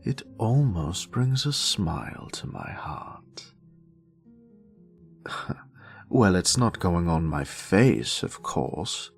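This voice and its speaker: Man playing a slow, gravelly British grumpy wizard. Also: deep voice, very animated, soft background music, full character voice. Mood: intrigued, amused. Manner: theatrical, haughty, drawling, dry sarcasm. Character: grumpy, sassy male wizard, exiled former professor. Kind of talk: scripted character monologue, audio roleplay.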